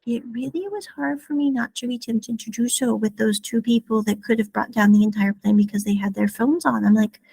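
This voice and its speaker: Gravelly, husky woman telling a travel story. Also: on the high side, fairly even intonation, brisk, few pauses, dark tone, no echo, low-quality recording. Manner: rambling, self-correcting, chatty asides. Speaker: podcast host.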